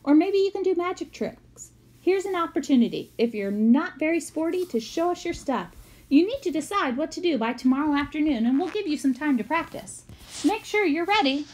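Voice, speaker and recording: Woman reading as an upbeat male schoolteacher, pitched high. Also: lively intonation, a little fast, poor audio. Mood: upbeat, encouraging. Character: upbeat male schoolteacher announcing a talent show to campers.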